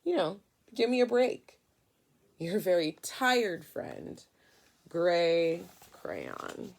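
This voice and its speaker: Woman as an exhausted, creaky gray crayon. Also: lowish voice, huge pitch swings, very slow, heavily acted. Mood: weary exasperation. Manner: weary, pleading. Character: exhausted, exasperated gray crayon begging for a break.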